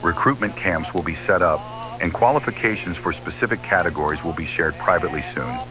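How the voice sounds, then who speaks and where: Very deep male official announcer. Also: fairly quick, over music, poor audio. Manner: formal, official-sounding. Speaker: narrator of an analog horror tape. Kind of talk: scripted recruitment announcement.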